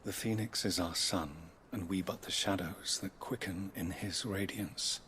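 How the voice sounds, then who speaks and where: A solemn, devout knight from a fantasy game, with a very low voice. He speaks with stately deference in archaic, formal phrases, sometimes hushed. There is faint noise behind him. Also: steady tone, unhurried. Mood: reverent. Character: solemn devout male knight and chronicler of a secret order.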